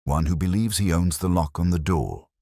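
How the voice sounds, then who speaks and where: A man voicing a shrewd middle-aged male police inspector who speaks quietly and deliberately, with a husky voice. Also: sits low, no room sound, clean studio sound. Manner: quiet, measured, probing. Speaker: detective inspector.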